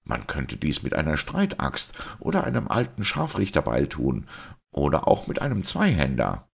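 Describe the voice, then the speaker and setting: A man's voice: a bass voice, huge pitch swings, moderate pace, gravelly, breathy edge, exaggerated voice. A man voicing a worried older doctor who speaks hesitantly, as if pained.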